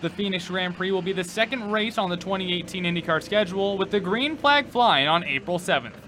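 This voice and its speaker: A man with a notably high-pitched voice delivers a scripted sports recap as a reporter. His delivery is emphatic and announcer-like. A faint layer of noise sits behind him. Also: animated, brisk, bright, forceful tone.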